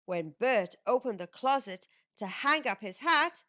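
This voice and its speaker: Female storytime narrator for young kids: high register, slow and measured, expressive read-aloud, slightly dull recording. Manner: measured, animated storytelling delivery. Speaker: children's storytime channel host and narrator.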